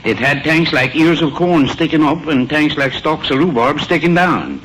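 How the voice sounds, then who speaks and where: A genial wisecracking pal in an old radio comedy. His voice is on the high side, with droll, folksy phrasing. The vintage recording is clearly noisy. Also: expressive, normal speed, fairly bright tone. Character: genial, wisecracking family friend, a married man.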